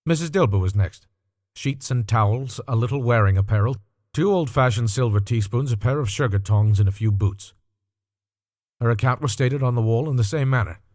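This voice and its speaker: A man's voice: mid-range voice, moderate pace, darkish tone, no room sound, clean studio sound. Male narrator for classic fiction audiobook, mid-range voice, scripted storytelling, no room sound.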